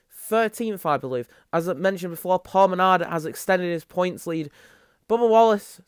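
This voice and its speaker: A man's voice: high-pitched, clear, resonant tone, dry recording, clean studio sound. A man giving motorsport race commentary as the host, with music behind him.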